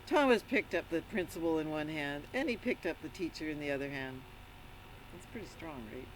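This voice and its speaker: A woman reading a picture book aloud to children in an animated storytelling style, pausing to put questions to the listeners. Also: deep voice, a little hiss or hum, low-quality recording. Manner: interactive, prompts listeners with questions. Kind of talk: animated read-aloud with asides to young listeners.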